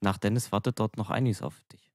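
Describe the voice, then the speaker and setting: A man's voice: lowish voice, steady tone, brisk, darkish tone, no room sound, clean studio sound. A man co-hosting a livestream in casual, teasing chat. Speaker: livestream co-host and sound operator.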